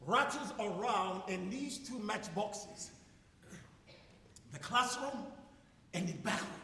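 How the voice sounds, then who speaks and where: Impassioned middle-aged South African schoolmaster, theatrical, declamatory, unhurried delivery, reverberant auditorium with slight noise. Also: male voice, sits high. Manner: declamatory, dramatic pauses, swelling to shouts. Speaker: drama student actor. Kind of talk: theatrical stage monologue. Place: large hall stage at commencement ceremony. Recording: echoey auditorium, distant, some noise.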